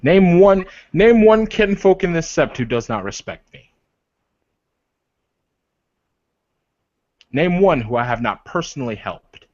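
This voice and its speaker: A man's voice: on the high side, lively intonation, moderate pace, darkish tone, no echo, lightly acted. Man playing a proud, insistent werewolf leader, muffled recording. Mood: proud, challenging. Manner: insistent, rhetorical questions, repeats phrases for emphasis, swears. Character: proud, protective male werewolf leader arguing passionately for respect. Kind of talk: improvised in-character roleplay dialogue. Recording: dull, lo-fi voice recording.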